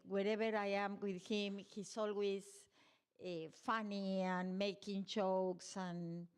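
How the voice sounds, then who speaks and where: Woman w/ telling fond stories about her teacher, reverent, a bit hesitant, mid pitch. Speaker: disciple sharing memories at a celebration. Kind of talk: heartfelt personal speech. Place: temple hall.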